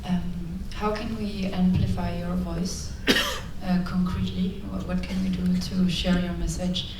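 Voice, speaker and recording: Hesitant woman asking in a flat voice. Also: lowish voice, a little slow. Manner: hesitant, many ums. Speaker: audience member. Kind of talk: question at a Q&A. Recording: echoey hall, distant mic, noisy.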